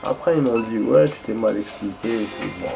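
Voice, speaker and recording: A man's voice: lowish voice, a little fast, vocal fry, music in the background. A young man chatting casually while playing a game, rambling and hesitant, with many fillers and restarts. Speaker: gaming streamer.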